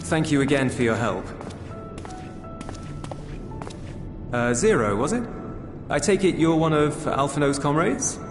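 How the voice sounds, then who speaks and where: A man's voice: fairly high pitch, normal speed, lightly acted. An actor playing a reserved, kindly soldierly man in a video game cutscene, speaking in a hesitant, earnest, conversational way. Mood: polite, awkward. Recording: roomy echo with music underneath.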